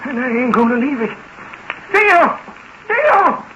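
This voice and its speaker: An actor playing a sick, miserly old farmer with a rural American accent, querulous and demanding, in 1940s radio drama style. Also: male voice, extremely high pitch, sing-song, takes it slowly, full character voice. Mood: desperate, possessive. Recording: muffled, hissy 1940s radio recording.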